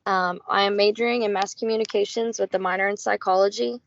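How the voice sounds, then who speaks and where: A woman's voice: mid-range voice, fairly even intonation, fast, gravelly, slight background noise. A woman of twenty-one from Texas giving a casual self-introduction as a student on a podcast. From Fort Worth, Texas, United States. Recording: muffled lo-fi dry audio.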